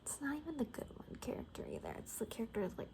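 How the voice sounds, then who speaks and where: A woman doing a soft-spoken, breathy ASMR roleplay as a cocky, gossipy apocalypse survivor, often dropping into a whisper and rambling casually. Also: a little high, wildly swooping pitch, rapid-fire.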